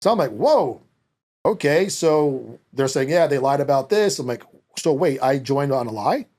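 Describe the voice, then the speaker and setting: A man's voice: mid-range voice, moderate pace. A middle-aged man from the United States telling personal stories as a podcast guest, casual, blunt and profane, acting out dialogue. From United States, born in Italy. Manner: animated, blunt, profane, acts out quoted dialogue. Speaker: podcast guest, former Marine. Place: small podcast studio, indoors. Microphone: close podcast microphone on a boom arm.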